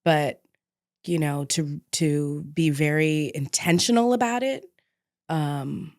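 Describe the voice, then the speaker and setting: A woman's voice: sits low, slow, darkish tone. A woman speaking as a podcast guest in a candid personal conversation, informal and reflective in how she talks.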